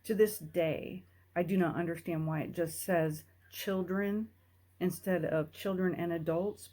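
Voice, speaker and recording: A woman speaks to her listeners in an earnest, unhurried way, pausing between phrases as she shares her own experience. Her voice is mellow and warm, sitting a little low for a woman. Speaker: host. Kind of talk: personal talk to camera.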